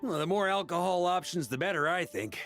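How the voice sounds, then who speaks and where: A man's voice: sits high, lively intonation, brisk, creaky, fairly bright tone, faint music behind, exaggerated voice. A man voicing an easygoing, roguish grandmaster of knights in an anime game, informal and teasing. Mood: relaxed, teasing. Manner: laid-back, informal, teasing.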